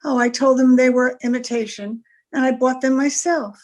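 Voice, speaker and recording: A woman's voice: high-pitched, steady tone, moderate pace, a little mellow, close to an everyday voice. An actress playing a wistful, anxious married woman in an old-time radio drama, speaking in a plaintive, earnest way. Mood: wistful, regretful.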